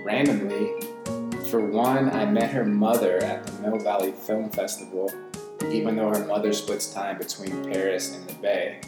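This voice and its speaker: Low-pitched interviewer in a very echoey room. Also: fairly even intonation, moderate pace. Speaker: interviewer, host. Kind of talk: conversational interview. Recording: echoey room with music behind.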